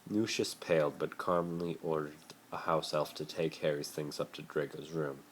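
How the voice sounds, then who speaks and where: A man's voice: very deep voice, steady tone, normal speed, a little hiss or hum. A man doing an amateur dramatic reading of a story, stumbling over words and correcting himself, recorded on a phone. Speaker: amateur reader of fan fiction.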